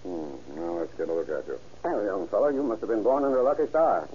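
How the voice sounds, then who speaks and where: An actor playing a kindly police doctor in an old radio drama, measured and reassuring. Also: male voice, lowish voice, dark tone, slight background noise, lightly acted. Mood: calm. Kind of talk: 1930s radio acting. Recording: dull old radio recording.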